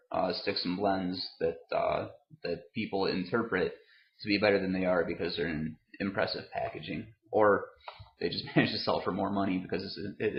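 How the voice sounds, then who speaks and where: A man in his thirties talks through a product review with a lowish voice full of fry. He hesitates and doubles back on words as he rambles unscripted. The sound is dull and clearly roomy, like a webcam at home. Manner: halting, hesitant, repeats words. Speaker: vlogger reviewing tobacco products. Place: room at home, indoors.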